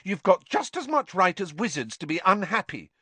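A man voicing a clever, earnest teenage girl who lectures briskly. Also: fairly high pitch, sing-song, fairly bright tone, no echo, voice pushed up. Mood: exasperated.

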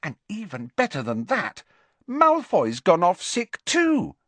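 A man's voice: high-pitched, huge pitch swings, takes it slowly, fairly bright tone, no room sound, voice pushed up, heavily acted. A man reading aloud and voicing a chirpy, eager teenage schoolgirl with a raised voice. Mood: bright eager. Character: bright, gossipy teenage schoolgirl athlete.

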